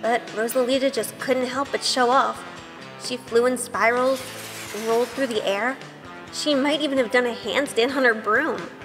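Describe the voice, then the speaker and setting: A woman's voice: on the high side, steady tone, normal speed, over music, natural voice. Woman acting a wide-eyed young witch, Grace, reverberant. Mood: wonder-struck. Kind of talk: first-person audio drama narration with in-scene dialogue.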